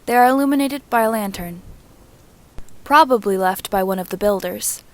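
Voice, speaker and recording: Woman narrating a story at middle pitch. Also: normal speed. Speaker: reader and narrator of fan fiction. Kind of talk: scripted storybook narration, audiobook reading.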